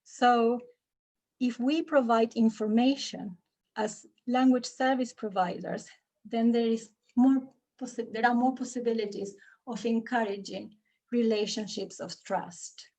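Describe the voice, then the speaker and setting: Woman giving a talk, kinda high voice, slow and careful, lots of ums. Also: fairly even intonation, mellow, low-quality recording. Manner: measured, explanatory, with frequent fillers and restarts. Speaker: conference speaker. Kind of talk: presentation to an audience.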